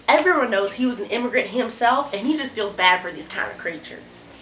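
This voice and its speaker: A young woman acts out a scornful, alarmist female candidate at a student-video debate. Her voice is fairly high and forceful, with blunt, folksy phrasing. The sound is roomy and echoing. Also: lively intonation, brisk. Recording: roomy echo, noticeable background noise.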